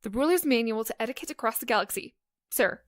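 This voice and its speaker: Woman playing a studious, dutiful higher-voiced recruit. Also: lively intonation, fast, dry recording, clean studio sound, lightly acted. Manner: earnest, precise, obedient. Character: dutiful, studious young female space recruit. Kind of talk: comedy sci-fi audio drama acting.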